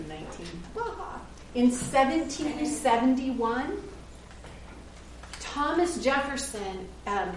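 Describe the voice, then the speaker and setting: A woman's voice: on the high side, slight background noise. Woman preaching in a very echoey church. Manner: earnest, conversational preaching with self-corrections. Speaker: pastor. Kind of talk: sermon. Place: church sanctuary. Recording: roomy echo, heard from a distance.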